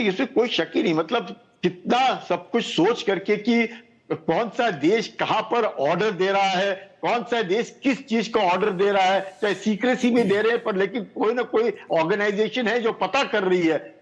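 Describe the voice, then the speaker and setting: A man's voice: high-pitched, moderate pace, highs cut off. A man giving live news analysis in emphatic, broken phrases with English technical words mixed in. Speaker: expert commentator.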